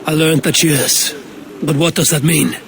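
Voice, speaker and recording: A stoic Viking warrior in a dramatic game scene. The man's voice is a little high, and his delivery is terse and direct. There is faint ambient noise behind him. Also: fairly even intonation, normal speed, creaky, a bit bright. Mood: determined. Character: stoic, blunt Viking warrior, questioning and determined. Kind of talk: dramatic video game cutscene acting.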